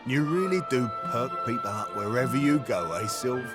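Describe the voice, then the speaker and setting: A male actor with a British accent playing a rough-and-ready gang boss in a video game, blunt, slangy and gruffly fond. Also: medium pitch, animated, normal speed, loud music behind the voice, close to an everyday voice. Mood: admiring. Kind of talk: video game cutscene voice acting.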